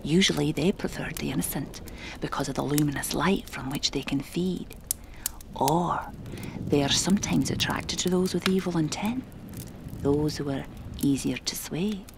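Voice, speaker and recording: A woman's voice: sits low, normal speed, gravelly, background noise. A woman playing a commanding, mysterious spirit medium of the 1920s in a horror audio drama, with formal, theatrical diction. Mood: solemn, confiding. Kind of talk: dramatic horror podcast acting.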